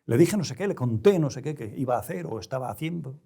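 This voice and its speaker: An older man with a European Spanish accent speaking reflectively in an interview, deliberate and halting, choosing erudite words with care. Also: medium pitch, expressive, mellow, husky. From Spain. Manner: deliberate, halting, with erudite careful word choice. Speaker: interview guest, novelist.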